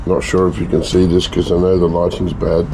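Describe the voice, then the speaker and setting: Hoarse, gravelly man with a lowish voice, chatty hobbyist explaining his lab work, a bit of faint noise behind. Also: completely flat delivery, a little fast. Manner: chatty, rambling, self-mocking asides. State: hoarse, losing his voice from a cough. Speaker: hobbyist gold refiner and video maker. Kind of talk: casual talk-through of a lab process.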